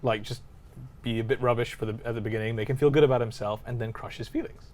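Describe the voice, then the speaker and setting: A man's voice: mid-range voice, steady tone, fast talker, darkish tone. A man co-hosting a gaming podcast, chatting casually with a teasing, bantering manner. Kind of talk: casual chat about video games.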